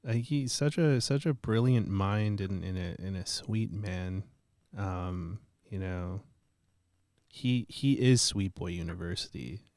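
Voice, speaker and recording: A man hosting a casual chat, relaxed and joking with his guest. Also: low-pitched, slow, no room sound, studio quality. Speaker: host.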